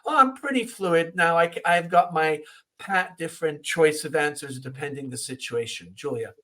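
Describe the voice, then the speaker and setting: A man's voice: a little high, moderate pace, bright, forceful tone. A man hosting a podcast, interviewing guests with long rambling questions. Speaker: podcast host.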